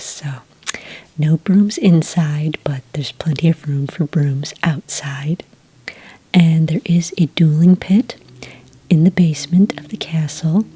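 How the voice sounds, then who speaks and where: A friendly enrollment witch, played by a woman, whispers her explanations in a deep, breathy hush. Her tone is mellow and the sound is dry and close, with chatty little pauses and ums. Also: no echo, heavily acted. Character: friendly adult female enrollment witch clerk. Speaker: ASMR roleplay host. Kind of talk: ASMR roleplay.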